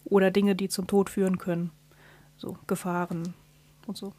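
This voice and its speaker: A woman's voice: mid-range voice, flat delivery, normal speed, dark tone. A woman co-hosting a podcast, chatting casually and explaining things while searching for her words. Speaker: podcast co-host.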